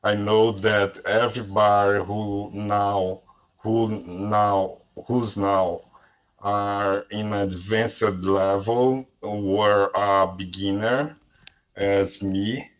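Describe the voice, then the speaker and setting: Slow, halting Brazilian man. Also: lowish voice, steady tone. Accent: Brazilian Portuguese. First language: Portuguese. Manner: hesitant, halting, frequent restarts. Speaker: language learner. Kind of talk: online conversation party chat.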